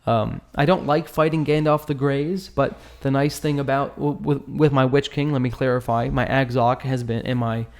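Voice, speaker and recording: A man with a mid-pitched voice talks through a game battle as a channel host. His delivery is informal and conversational, full of slang and joking asides. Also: steady tone, normal speed, hardly any pauses. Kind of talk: casual gameplay commentary, analysing a battle report.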